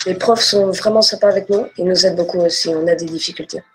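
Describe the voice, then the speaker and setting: A woman from Portugal, whose first language is Portuguese, speaking simply and warmly as a student in a school video. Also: on the low side, fairly even intonation, quick pace, breathy edge, soft background music, low-quality recording. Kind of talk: promotional video interview.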